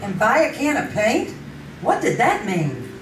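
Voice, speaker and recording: A woman's voice: fairly high pitch, huge pitch swings, a little slow, a little hiss or hum. A woman playing a curious, teasing newspaper reporter in a 1940s-style radio drama. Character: curious, teasing female reporter, sharp sidekick. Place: live theater stage. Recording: echoey stage, distant, music behind.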